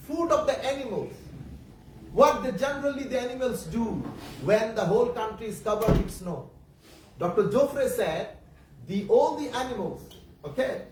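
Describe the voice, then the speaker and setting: A man whose first language is Bengali, preaching a sermon to children in English, halting and repeating himself, often asking the audience questions. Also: very high-pitched. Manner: halting, repetitive, searching for words. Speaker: Islamic preacher. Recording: echoey room, distant mic, faint background noise.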